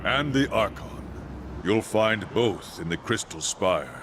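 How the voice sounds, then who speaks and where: A loyal angel warrior in a video game, formal and earnest in every line. His voice sits low and steady. A clear bed of noise runs behind him. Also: takes it slowly. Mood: solemn. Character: loyal male angel warrior, solemn soldier. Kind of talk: video game cutscene acting.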